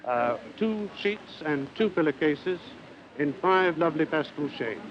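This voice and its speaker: Higher-pitched salesman, noisy old recording. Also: expressive, unhurried. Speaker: shop salesman being interviewed. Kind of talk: explaining goods on the spot. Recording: dull 1950s newsreel, noisy shop floor.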